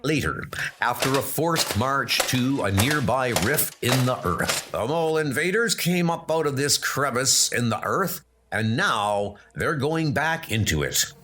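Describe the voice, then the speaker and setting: A man's voice: medium pitch, animated, unhurried, sharp tone, slight background noise. A man narrating a comic book adventure in a dramatic, theatrical, scripted style. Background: music.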